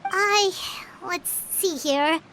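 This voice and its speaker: A woman's voice: very high-pitched, drawn-out words, bright, forceful tone, a little hiss or hum, heavily acted. A woman voicing a small, childlike, chatty fairy companion in a video game, earnest and easily flustered. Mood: unsure, flustered. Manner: refers to self in third person, hesitant. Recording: music playing behind the voice.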